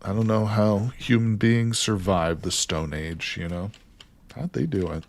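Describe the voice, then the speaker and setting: A man's voice: fairly low pitch, unhurried, slight background noise. A man live streaming a survival game, chatting casually and joking with his viewers as he plays. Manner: chatty, jokes with chat, greets viewers by name.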